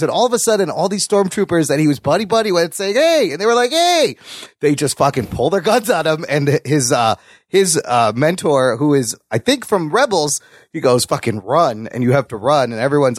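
A man's voice: on the high side, no room sound, clean studio sound. A man co-hosting a casual pop culture chat, talking with enthusiasm and plenty of swearing. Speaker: podcast co-host.

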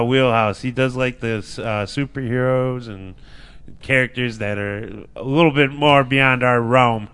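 A man's voice: medium pitch, sharp tone, clear, resonant tone. A man hosting a casual wrestling podcast, joking, swearing and rambling loosely. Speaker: podcast host. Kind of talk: casual chat.

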